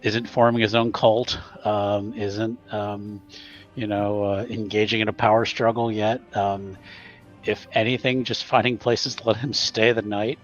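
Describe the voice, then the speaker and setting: A man with a lowish voice and a very slow pace describes his tabletop character casually. He is hesitant and understated, pausing between short phrases. The sound is slightly dull and lo-fi. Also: flat delivery, soft background music. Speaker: tabletop roleplaying player. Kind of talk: casual improvised character narration.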